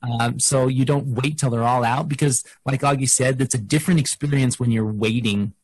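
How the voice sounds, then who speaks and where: A man hosts a casual interview with a slightly husky voice at a medium pitch. He is encouraging and rambles as he talks. The recording is dry. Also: steady tone, normal speed, no echo, poor audio. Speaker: podcast host, interviewer.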